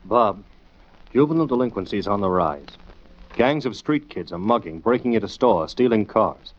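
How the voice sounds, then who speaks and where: An actor playing a serious, steady gentleman detective who narrates a 1940s radio drama with authority. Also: fairly low pitch, moderate pace. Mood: serious, concerned. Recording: muffled 1940s radio recording.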